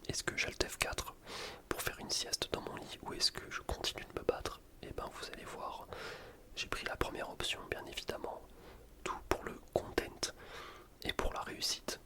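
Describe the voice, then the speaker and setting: A man speaks entirely in a breathy, unvoiced whisper. He gives ASMR commentary on a video game in a casual, slangy way, poking fun at himself as he goes. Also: normal speed. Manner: casual, slangy, self-deprecating. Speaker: gaming ASMR video host.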